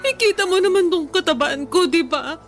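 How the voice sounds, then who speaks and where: A woman's voice: very high-pitched, animated, normal speed, music in the background. An actress playing a heartbroken, self-conscious young woman in a radio drama, plaintive and whiny. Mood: tearful, wounded.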